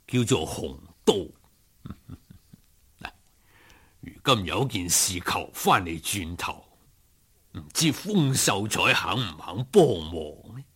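Deep, husky, genial old gentleman. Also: exaggerated voice. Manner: unhurried, old-fashioned courteous speech. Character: genial old gentleman, courteous, chuckling, insistent matchmaker. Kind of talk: storybook character acting.

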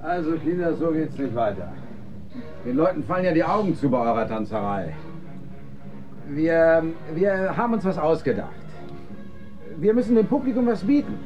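A man's voice: a little high, lively intonation, moderate pace, pauses often, highs cut off, faint music behind, lightly acted. An actor playing a brash, cajoling male master of ceremonies in a radio drama, pushy and patronising. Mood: cajoling, bossy.

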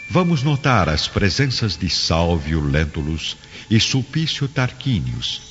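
Male narrator, deep voice, sweeping intonation, Brazilian accent, solemn radio drama storytelling, music behind. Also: muffled recording.

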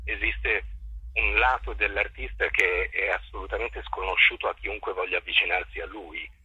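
A man giving reflective interview answers as a theatre director, articulate and formal, choosing words deliberately. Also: sits low, steady tone, sharp tone, slightly rough, dry recording, highs cut off.

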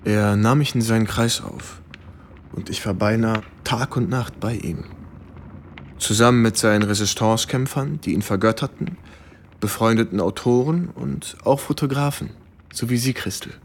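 Ein Schauspieler spielt in einem Hörspiel einen jungen amerikanischen Leutnant, der in der Ich-Form anschaulich und aufmerksam vom Krieg erzählt. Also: male voice, lowish voice, slight background noise, natural voice. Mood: reflective. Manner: measured, vivid storytelling. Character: observant young American lieutenant recalling the war. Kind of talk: first-person radio play narration. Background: music.

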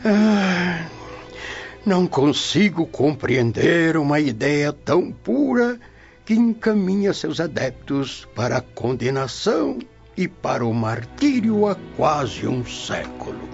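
An old Roman patriarch in a radio drama, stern but fond. His high, gravelly voice swoops dramatically as he speaks with solemn disbelief. The audio is dull. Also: very animated, slow pace, exaggerated voice. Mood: troubled. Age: older. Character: stern, affectionate aged Roman grandfather, devout pagan. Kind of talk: radio soap opera acting. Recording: dull lo-fi audio with faint music.

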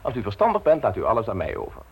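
An actor playing a suave, insolent blackmailer in a radio play, mockingly polite and smoothly domineering. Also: male voice, lowish voice, wildly swooping pitch, racing, muffled recording, slight background noise. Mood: smug, commanding. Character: suave, insolent male blackmailer installing himself as private secretary.

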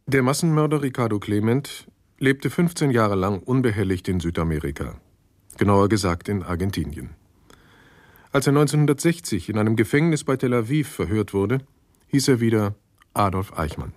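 A man reading scripted documentary narration in a sober, factual, measured manner. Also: lowish voice, lively intonation, no room sound, studio quality. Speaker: narrator of a radio documentary play.

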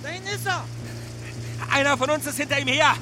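An actor playing an excitable henchman chasing someone in a German radio play, giving agitated reports. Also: very high voice, a little fast. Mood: excited, hunting. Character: excitable male pursuer in a manhunt. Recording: music and vehicle noise behind. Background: music, vehicle.

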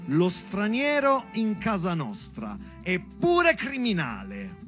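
A man's voice: sits high, huge pitch swings, slow pace, fairly bright tone, soft background music. A man delivering a storytelling theatre monologue as if to his daughter, with conversational asides and dramatic emphasis. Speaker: stage actor and monologuist.

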